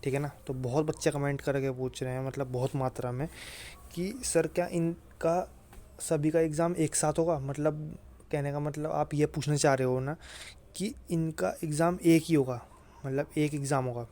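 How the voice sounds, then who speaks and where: A man with a slightly high voice and very little melodic movement. He talks informally, like a host advising students. His manner is reassuring, and he keeps tagging sentences with small questions to check understanding. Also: fairly even intonation, moderate pace, dark tone. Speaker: exam-prep channel host. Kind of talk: informal explainer addressed to students.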